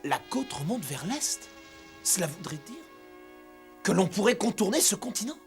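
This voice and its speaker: A man's voice: sits high, sing-song, normal speed. A man playing a young, bold and determined sea adventurer in a dubbed cartoon, earnest and intense. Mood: surprised, curious. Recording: loud music over the voice.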